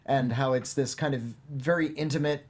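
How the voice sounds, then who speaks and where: A man's voice: medium pitch, fairly even intonation, moderate pace. A man hosting a casual panel film review, talking in an analytical, discursive way. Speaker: film critic and panel host.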